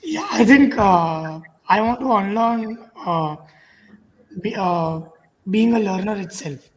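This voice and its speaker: A woman's voice: medium pitch, expressive, slow, darkish tone, slight background noise. A woman giving an unscripted answer as a panelist, recorded away from the microphone. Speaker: podcast panelist. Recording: distant from the microphone.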